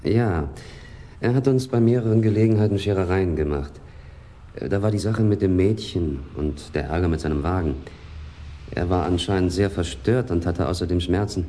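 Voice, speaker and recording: Male actor as polite hotel manager, low pitch, precise, disdainful, radio crime play, noticeable background noise. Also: normal speed, mellow, close to an everyday voice. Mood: polite, regretful. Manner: courteous, precise, disdainful storyteller. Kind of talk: German radio crime drama acting.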